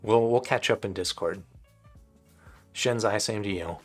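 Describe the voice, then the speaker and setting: A man's voice: lowish voice, measured pace, soft background music. A man hosting a live stream, answering viewer questions about audio gear in a casual, rambling way, his voice getting dry and tired. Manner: rambling and conversational, with frequent restarts.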